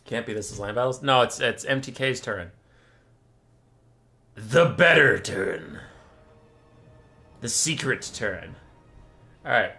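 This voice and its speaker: A man hosting a livestream with a bright, forceful, slightly tense voice that sits at an ordinary male pitch. He chats casually and rambles in a wry, self-mocking way, dropping swear words offhand before casting games. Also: lively intonation, takes it slowly, pauses often. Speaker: stream host and tournament caster. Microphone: desk mic, camera off.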